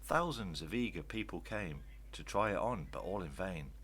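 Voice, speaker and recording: An older man reading rhyming children's stories aloud in an expressive, theatrical style. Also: low-pitched, normal speed, a little hiss or hum. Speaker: children's story reader.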